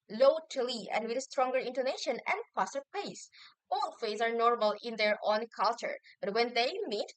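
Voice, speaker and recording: A young woman, a university student explaining answers in a measured way with occasional hesitant restarts. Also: fairly high pitch, a bit bright, no room sound, low-quality recording. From Indonesia. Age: young adult. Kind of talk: answering assignment questions on video.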